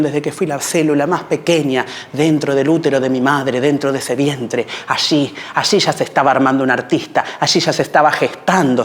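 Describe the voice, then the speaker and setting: A man's voice: mid-range voice, natural voice. A man with a Buenos Aires accent playing an insecure, intense artist in an improvised, theatrical monologue, repeating phrases for dramatic emphasis. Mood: solemn, self-important. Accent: Buenos Aires Argentine.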